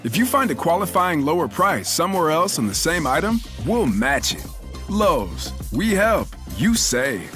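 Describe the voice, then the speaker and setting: A man's voice: a little high, big pitch swings, measured pace, fairly bright tone. A man announcing a scripted retail advertisement in an upbeat delivery. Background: music.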